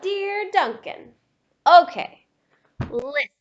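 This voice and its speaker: A woman's voice: very high voice, lively intonation, slow pace, muffled recording, pitched above the performer's own voice, exaggerated voice. A woman voicing a neglected, sassy pink crayon in a children's storybook, with a pointed, scolding manner.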